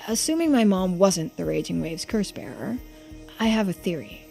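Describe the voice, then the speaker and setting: A woman's voice: on the low side, lively intonation, normal speed, gravelly, dark tone, soft background music. Woman voicing a polite, earnest daughter. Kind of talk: video game character voice.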